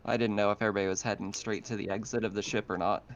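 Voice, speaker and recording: A man's voice: fairly low pitch, flat delivery, very fast, slight background noise. A man casually narrating as game master of an online tabletop game, feeling his way through sentences. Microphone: online voice call. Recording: dull lo-fi voice chat.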